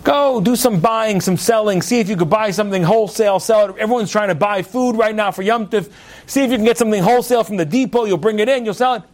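A man's voice: high-pitched, fast talker, pitched above the performer's own voice, close to an everyday voice. A man playing a kindly, generous relative in a folk tale, coaxing and reassuring as he advises. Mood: encouraging. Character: kindly, generous male relative giving practical advice.

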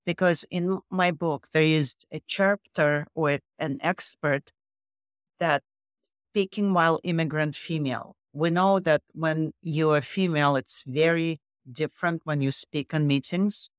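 Middle-aged woman, low voice, foreign accent, emphatic interview guest, dry sound. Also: steady tone, unhurried, dry recording. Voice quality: low voice with a non-native accent. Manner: emphatic, leans on facts and figures. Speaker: guest author. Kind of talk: interview conversation.

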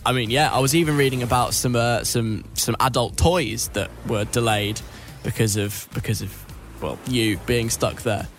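A man's voice: medium pitch, fairly even intonation, moderate pace, soft background music. A young British man hosting a radio show in a conversational, wry, chatty style.

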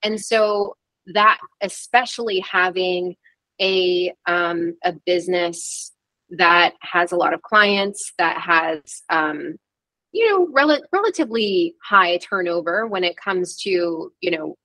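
Female interview guest; lower voice, dry close recording, reflective and unpolished. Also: lively intonation, takes it slowly, sharp tone, no echo, rough recording. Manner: reflective and candid, with frequent "um" and restarts. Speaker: guest, PR agency founder. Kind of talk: candid interview conversation.

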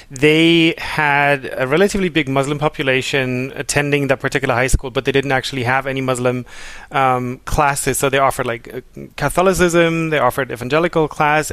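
A man's voice: medium pitch, steady tone, brisk, a bit bright, clean tone, dry recording. A man telling an anecdote in a casual conversation, often correcting himself and rambling. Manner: rambling, self-correcting storyteller.

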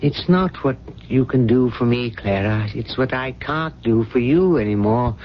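A devoted middle-aged butler, played by a man, in a 1970s radio drama. He is earnest and formal, halting as a dying man confessing his love. His mid-pitched voice comes through a muffled broadcast with faint noise. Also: expressive, natural voice. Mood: grave, resigned.

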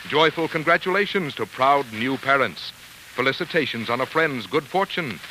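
A man works as an announcer on old-time radio, delivering scripted copy earnestly and formally. His medium-pitched voice rises and falls expressively. The vintage recording has clear noise. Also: pushed and bright, poor audio. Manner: polished, earnest, oratorical.

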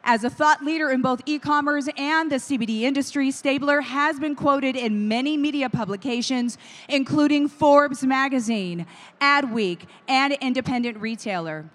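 A high-voiced woman emcee pumps up the crowd and introduces the next speaker with enthusiasm. Her voice rings through a big hall with a long reverb. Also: normal speed, a bit bright. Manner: hyping the audience, enthusiastic. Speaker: event emcee. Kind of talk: stage introduction. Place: large conference hall. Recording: echoing hall.

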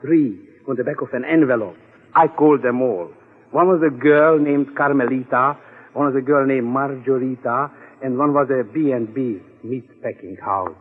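An actor playing a roguish, grumbling sidekick with quaintly formal speech as comic relief in a 1940s radio drama. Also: male voice, mid-range voice, moderate pace, breathy edge, lightly acted. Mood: droll, grumbling. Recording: muffled, narrow-band old radio recording, reverberant.

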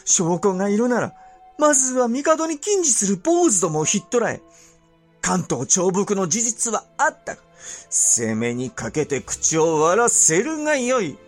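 An actor playing an imperious, impatient and suspicious medieval Japanese ruler in a period audio drama, with theatrical, drawn-out emphasis. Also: male voice, high-pitched, big pitch swings, faint music behind. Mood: impatient, ruthless. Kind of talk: period radio drama acting in archaic speech.

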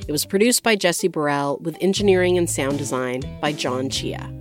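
Low-voiced female podcast host over music. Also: normal speed. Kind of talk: scripted radio presenting.

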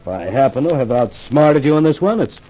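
A man's voice: mid-range voice, big pitch swings, brisk, warm tone, poor audio. An actor playing a cocky, wisecracking male columnist in a 1950s radio detective drama, glib and wry. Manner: glib, wry, self-assured.

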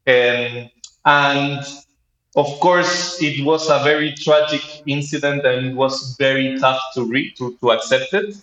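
A man in his thirties from Chile with a Chilean Spanish accent, telling his personal story as an interview guest in a halting manner. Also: medium pitch, steady tone, fairly bright tone. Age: 30s. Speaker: interview guest, startup co-founder. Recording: roomy, dull lo-fi sound.

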